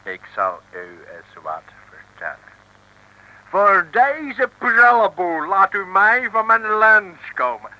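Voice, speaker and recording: An actor playing a haughty, irritable ambassador in an old comic radio serial, pompous and dismissive. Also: male voice, sits high, huge pitch swings, slow, bright, forceful tone, dull audio, exaggerated voice. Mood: indignant. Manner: pompous, swooping into indignation. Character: haughty, irritable American male ambassador, self-important and dismissive. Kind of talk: 1950s-60s comic radio serial acting.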